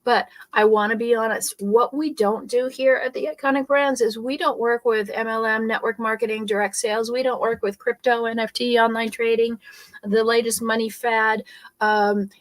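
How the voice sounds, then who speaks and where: A woman's voice: on the high side, normal speed. Upbeat German-born woman business coach. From Europe. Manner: energetic, persuasive, tag questions "okay?". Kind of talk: motivational webinar talk.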